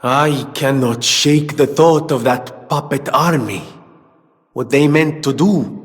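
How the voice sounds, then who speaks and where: A man's voice: mid-range voice, slow pace, close to an everyday voice. An actor playing a wealthy, vain inventor and philanthropist in a video game, with pompous, flowery speech that turns hesitant when he is flustered. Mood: troubled, earnest. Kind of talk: theatrical video game voice acting. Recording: long reverberant hall.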